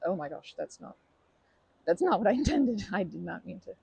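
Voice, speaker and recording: A woman acts out a shy, kind young woman in an ASMR comfort roleplay. Her voice is very breathy and mellow with some creak, and she stammers, rambles and puts herself down in a flustered, nervous way. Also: mid-range voice, big pitch swings, moderate pace, exaggerated voice. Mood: embarrassed, apologetic. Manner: stammering, self-deprecating, rambling with nervous giggles.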